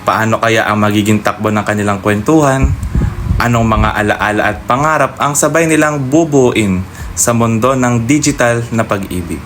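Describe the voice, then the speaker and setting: A man's voice: medium pitch, fairly bright tone, slight background noise. A man narrating a scripted radio drama as its host, speaking to listeners directly in a teasing way.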